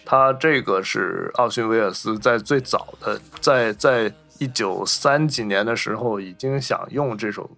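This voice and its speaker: A man's voice: fairly low pitch, unhurried, creaky, few pauses, fairly bright tone, faint music behind. A man hosting a podcast, casually telling film anecdotes and acting out the remarks he reports. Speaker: podcast host.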